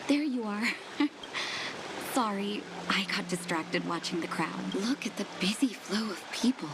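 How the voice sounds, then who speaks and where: An actress playing a kind, caring adult doctor in a game cutscene, speaking gently and earnestly. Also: female voice, medium pitch, background noise, lightly acted. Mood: wistful. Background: game music.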